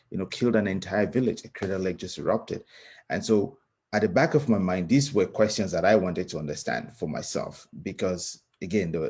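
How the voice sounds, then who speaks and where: Deep, husky man with a Cameroonian accent. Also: a little mellow. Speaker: guest speaker, scientist. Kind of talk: reflective personal talk.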